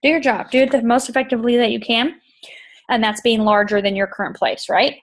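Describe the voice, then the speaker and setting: A woman's voice: a little high, steady tone, fairly quick. A woman hosting a chat show, reading from a book and explaining it in an enthusiastic, emphatic way that repeats points, with a tickle in her throat. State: throat tickle, occasional coughs. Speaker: podcast host.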